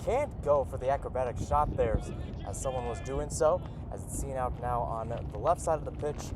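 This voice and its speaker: A man calling live soccer play-by-play for radio in a conversational way, speaking outdoors beside the pitch. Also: expressive, a little fast. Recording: noisy outdoor field with traffic-like ambience. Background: clear outdoor ambient noise.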